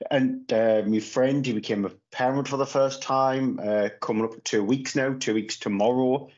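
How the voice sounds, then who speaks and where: A man from England, talking over a video call from his phone at home. His voice sits at a middle pitch and he rambles, circling back and restarting his sentences. The sound is a little dull and lo-fi. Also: steady tone, normal speed, darkish tone. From Northeast England. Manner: rambling, full of restarts and fillers. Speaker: podcast co-host. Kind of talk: casual podcast chat. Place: small room at home, indoors. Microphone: phone camera on a video call. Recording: slightly dull lo-fi call audio.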